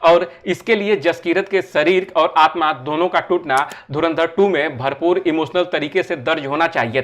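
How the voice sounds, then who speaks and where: A man with a fairly high-pitched voice delivers scripted narration for a film-review video essay. He explains his points in an orderly way and uses rhetorical questions to move the argument along. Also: fairly bright tone.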